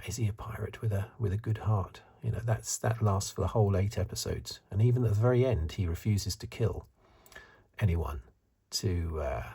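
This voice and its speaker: A man giving soft-spoken, partly whispered ASMR review commentary in a breathy, hesitant, musing way. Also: on the low side. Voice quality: breathy, hushed. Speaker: host of a film review video.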